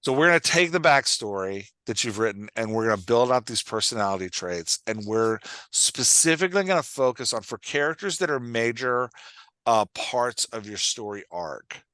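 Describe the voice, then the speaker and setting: A man's voice: mid-range voice, expressive, normal speed, pushed and bright, clear, resonant tone, no echo. A man hosting and coaching in a conversational, explanatory way, often checking that the listener follows. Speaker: podcast host and writing coach.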